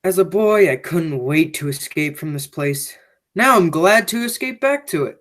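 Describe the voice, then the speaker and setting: A woman's voice: low voice, lively intonation, normal speed. A teenage girl playing a sinister criminal man in a school radio play, speaking in grandiose, theatrical phrases. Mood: menacing, grandiose. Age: teens. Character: sinister, theatrical criminal nephew returning home.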